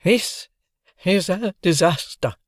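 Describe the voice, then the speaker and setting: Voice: mid-range voice, animated, slow pace, dry recording, clean studio sound, pitched above the performer's own voice. A narrator voicing a tearful middle-aged hotel concierge who laments dramatically. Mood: lamenting. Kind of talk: audiobook character voice.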